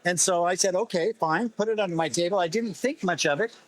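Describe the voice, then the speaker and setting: A man with a slightly hoarse voice, explaining his vintage repair work conversationally in an interview, often restarting phrases. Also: high-pitched, fairly quick, no echo, low-quality recording. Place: busy festival exhibit table.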